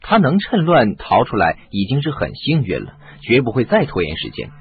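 A man's voice: mid-range voice, huge pitch swings, brisk, narrow, tinny audio, background noise. A man acting in a radio drama as a shrewd, confident sleuth, explaining things in an assured way. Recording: band-limited audio with music.

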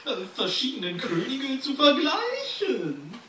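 A man playing a nervous, obsequious bird servant in a live radio play, stammering and flattering. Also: very high voice, measured pace, full character voice. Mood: placating. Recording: echoey hall, distant handheld phone, noisy.

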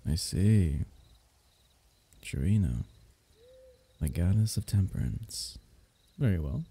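A man acting as an affectionate fantasy court diviner for an ASMR roleplay. His voice is low and very dark and mellow, with gravelly fry at the edges. He speaks in courtly, teasing phrases, halting softly as he goes. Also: animated, full character voice. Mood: gentle, reassuring. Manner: halting, teasing, soft chuckles, courtly wording.

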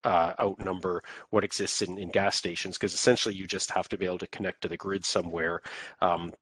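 Male, Canadian accent, low-pitched voice, dry close sound, informal presenter, halting explanatory delivery. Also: flat delivery, a bit bright, breathy edge, no echo, low-quality recording. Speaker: webinar presenter.

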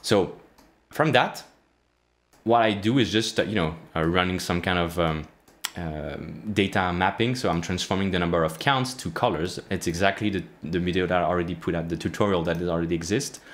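A man in his thirties walking through code in a casual tutorial, talking in halting phrases with many fillers and restarts. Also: sits low, fairly bright tone. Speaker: programming tutorial host. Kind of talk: casual screen-share coding walkthrough. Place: small indoor room, plain wall.

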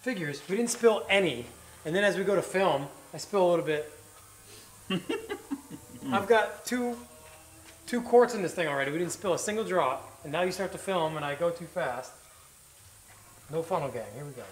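A man in his thirties hosting a car vlog, talking fast and with energy while working in a tire workshop. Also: high voice, animated, low-quality recording. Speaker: car builder and vlog host. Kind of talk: casual on-camera vlog. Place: indoor tire workshop garage.

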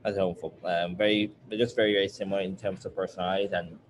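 A man's voice: sits low, level tone, rapid-fire. A man in his twenties from Nigeria telling his personal story casually, rephrasing himself as he goes. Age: 20s. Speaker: university student or alum sharing his story. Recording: clearly noisy background.

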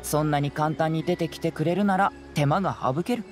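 A youthful male detective from an anime game, played by a man. He speaks casually and with composure, clever and analytical, always sure of himself. Soft music sits behind the voice. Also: a little high, a little fast, close to an everyday voice. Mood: confident. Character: calm, clever, confident young male detective.

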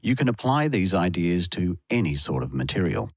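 A man reading scripted instructional narration in a measured, explanatory way, as a tutorial voice-over. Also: sits low, very animated, noisy background. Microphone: close voice-over mic, dry studio sound.

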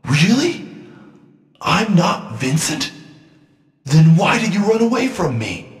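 An actor playing an earnest, protective amnesiac detective in a video game, with halting, stilted delivery. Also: male voice, high voice, very animated, full character voice. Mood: puzzled. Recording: echoey game audio with music behind.

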